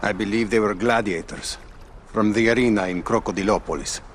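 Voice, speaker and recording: A man's voice: lowish voice, a little fast, slight background noise, lightly acted. A man playing a grieving, bitter father in a video game drama, sombre and heavy-hearted. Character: grieving father, sorrowful, bitter, devoted friend.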